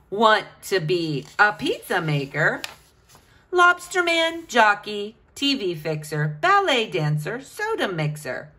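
A woman's voice: mid-range voice, bright, forceful tone, a little hiss or hum. A woman reading a picture book aloud to children, with a sing-song, questioning delivery.

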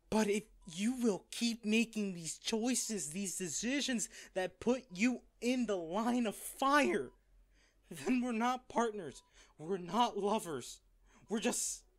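Man acting as a devoted rich lover, slow and earnest. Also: high-pitched. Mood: pained, confessional. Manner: tender, halting, emotionally earnest. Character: wealthy devoted male lover, earnest and protective. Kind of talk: romantic audio roleplay addressed to the listener.

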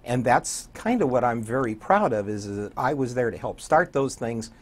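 A man in his sixties with a husky voice talks casually as a studio interview guest. His intonation is lively and animated, and he wanders through his stories at an easy, conversational level. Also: mid-range voice, moderate pace. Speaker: radio program director, guest. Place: small TV studio, indoors.

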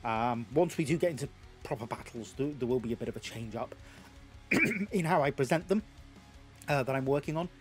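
A man in his thirties with a Geordie accent, narrating a tabletop battle report at home, slightly hoarse, rambling with lots of fillers. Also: medium pitch, animated, a little fast, over music. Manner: rambling, self-deprecating, lots of filler words. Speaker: YouTube host. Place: home games room.

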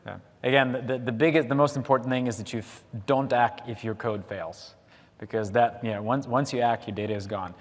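A man's voice: mid-range voice, fairly even intonation, a little fast. A man on stage answering audience questions at a tech conference, restarting his sentences often. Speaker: conference speaker. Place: large conference ballroom. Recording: reverberant ballroom.

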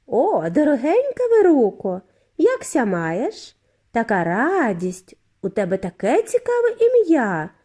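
A woman voicing a giddy, eccentric factory owner in a storybook reading, with a high, clear, flute-like voice and effusive, drawn-out greetings. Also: wildly swooping pitch, dark tone, full character voice. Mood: gushing. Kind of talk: audiobook character voice.

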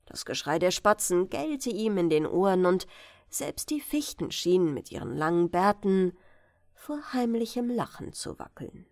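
A woman's voice: on the low side, lively intonation, measured pace, darkish tone, no room sound, clean studio sound. A woman narrating a bedtime fairy tale as an audiobook reader.

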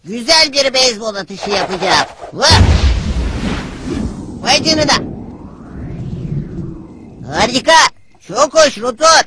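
An actor voicing a cocky young hedgehog hero in a cartoon, brash and punchy. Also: male voice, extremely high pitch, animated, slow pace, pauses often, very noisy, heavily acted. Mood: cocky, eager. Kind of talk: cartoon dub acting.

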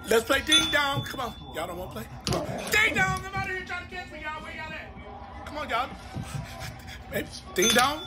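A man's voice: very animated, normal speed, long pauses, sharp tone. A man doing comedic voiceovers for pets, talking in a goofy, slangy, exaggerated way. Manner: goofy, exaggerated, wildly swinging pitch, slangy drawl. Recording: voiceover mixed with music.

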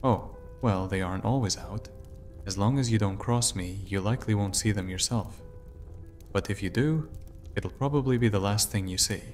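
A man plays a vampire prince in a fantasy audio drama. He is serious and brooding, with a fairly low voice over faint music. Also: normal speed, darkish tone, natural voice. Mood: menacing. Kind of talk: immersive audio drama roleplay. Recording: music behind the voice.

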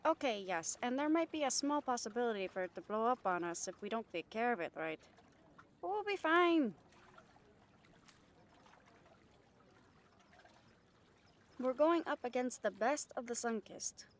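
A woman's voice: a little high, very animated, fairly quick. A woman playing a brash, cheeky catgirl pirate in an audio roleplay, bantering with salty sarcasm. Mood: defiant. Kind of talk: roleplay audio drama acting.

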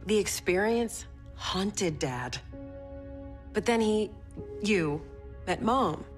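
An actress with an American accent playing a tough, caring young soldier in a video game cutscene. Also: female voice, medium pitch, expressive, drawn-out words, faint music behind. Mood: tender, subdued. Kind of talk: naturalistic game cutscene acting.

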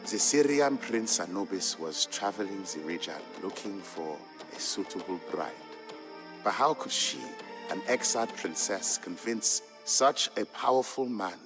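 A patient merchant father from Ethiopia, narrating a game's storybook legend. His voice is on the low side and he tells it fondly, in measured phrases. Music plays clearly behind him. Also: expressive. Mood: reflective, storytelling. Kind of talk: scripted storybook narration in a game. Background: background music.

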